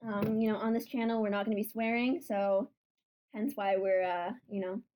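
A woman's voice: medium pitch, steady tone, moderate pace, mellow. A woman hosting a casual podcast, playful and teasing, laughing often. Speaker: podcast host. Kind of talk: casual chat.

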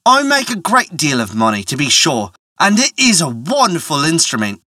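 Canny storybook trader guy, narrator doing him, hearty and obliging, bright, super lilting up and down. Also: medium pitch, wildly swooping pitch, normal speed, no echo, clean studio sound, full character voice. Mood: affable. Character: affable, canny male trader on the road. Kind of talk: storybook character voice.

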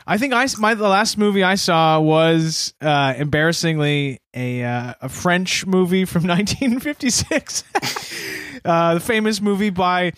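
Wry high-voiced podcast co-host. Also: male voice, a little slow, a bit bright, no echo, studio quality. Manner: wry, teasing. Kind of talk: casual podcast chat.

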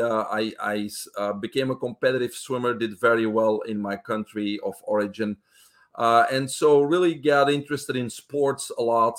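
Belgian guy, middle-aged, easygoing interview guest, lots of uhs, normal pitch. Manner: relaxed, many uh fillers. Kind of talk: interview storytelling.

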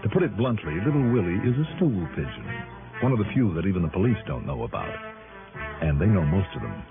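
A man's voice: deep voice, big pitch swings, fast talker, creaky, full character voice. A man playing a wry, world-weary, hard-boiled investigator narrating his case in an early 1960s radio drama. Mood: wry narration. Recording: muffled old radio broadcast, music.

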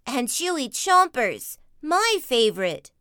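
A woman voicing a pushy, excitable young boy bear cub in a children's storybook, whining and pleading. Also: sits high, takes it slowly, clear, resonant tone, no echo, voice pushed up, heavily acted. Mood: whiny.

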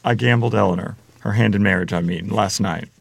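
Gravelly rural father, played by a man, defensive and folksy. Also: on the low side, brisk, dry recording. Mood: stubborn, defensive. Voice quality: gravelly, creaky. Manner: folksy, plainspoken rural speech. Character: gruff, defensive middle-aged Midwestern father and gambler. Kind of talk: table-read screenplay acting.

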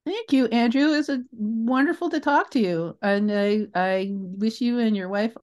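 A woman's voice: on the high side, a little slow, darkish tone. A woman speaking as an interview guest in a casual, rambling and enthusiastic way about creative work.

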